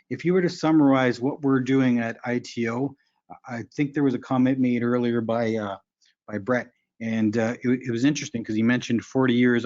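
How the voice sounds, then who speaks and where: A man's voice: medium pitch, a little mellow. Earnest man in his forties, dull lo-fi audio. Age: 40s. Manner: earnest, frank, with many fillers and restarts. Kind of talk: conference panel remarks.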